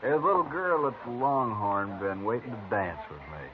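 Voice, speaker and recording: An actor playing a polite, easygoing professional gambler and card dealer in a 1950s radio drama. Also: male voice, on the low side, expressive, moderate pace, crowd noise, lightly acted. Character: smooth, gracious professional gambler man. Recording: scratchy, tinny old radio broadcast.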